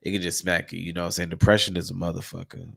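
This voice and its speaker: A man with a very low, gravelly voice co-hosting a podcast, sounding congested. He talks in a laid-back, candid way, swearing freely as he chats. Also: little pitch movement, racing. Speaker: podcast co-host. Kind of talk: casual podcast chat.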